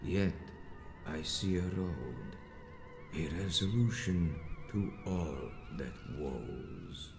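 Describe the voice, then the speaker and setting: An actor playing a grandiose, cold and imperious vampire leader in a video game, speaking in a pompous, ornate way. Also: male voice, low-pitched, wildly swooping pitch, reverberant, faint music behind, heavily acted. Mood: grandiose, commanding. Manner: pompous, ornate, deliberate delivery. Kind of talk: theatrical video game villain acting.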